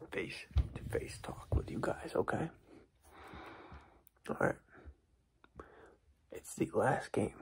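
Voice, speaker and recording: A young man doing an ASMR basketball coach roleplay in a hushed, breathy, husky whisper, repeating himself as he addresses his team. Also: mid-range voice. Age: young adult. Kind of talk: ASMR roleplay pep talk and play explanation. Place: small dark room indoors.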